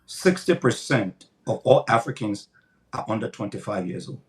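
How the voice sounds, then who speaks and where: A man's voice: on the low side, animated, moderate pace, a little mellow. An older man, a pastor giving earnest, emphatic interview answers with a preaching cadence, often repeating words as he builds his points.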